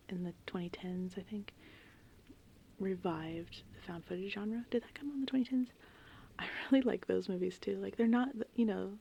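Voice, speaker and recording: A woman rambling casually and informally in an ASMR style, with a soft, breathy voice that often slips into a whisper. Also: medium pitch, dull audio, slight background noise. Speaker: ASMR host. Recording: steady rain and thunder ambience. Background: gentle rain and thunderstorm sounds.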